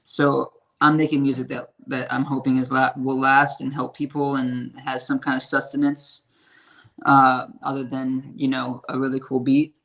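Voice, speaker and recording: A man with a raspy, hoarse voice, talking about his music in a casual interview and rambling with lots of fillers and swearing. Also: mid-range voice, monotone, moderate pace, a bit bright, poor audio. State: hoarse from a strained voice. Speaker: independent musician.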